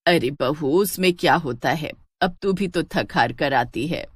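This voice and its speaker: An aged, affectionate mother-in-law from a village cartoon tale, acted out by a woman. Her voice sits low and sounds homely and plain-spoken. The recording is close and dry. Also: a little slow, husky, no echo. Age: older. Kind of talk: animated Hindi storybook acting.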